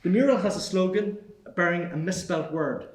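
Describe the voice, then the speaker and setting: A roomy theatre recording of a man. He narrates stage directions from a screenplay. His voice is a little higher than most men's. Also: moderate pace. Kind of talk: scripted narration at live table read.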